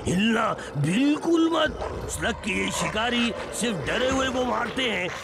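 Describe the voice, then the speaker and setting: A man's voice: very high-pitched, animated, unhurried, vocal fry, heavy background noise, exaggerated voice. A man voicing a jumpy, boastful molenoid creature guide in a cartoon dub, fussy and hesitant. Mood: nervous bravado. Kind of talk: animated cartoon dub acting. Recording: loud music behind the voice.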